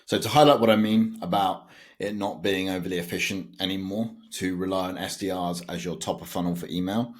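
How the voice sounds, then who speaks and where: A man's voice: low voice, steady tone, fairly quick. A young British man, a sales automation consultant, explaining his method to camera in a loose, conversational way with frequent fillers and self-corrections. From United Kingdom.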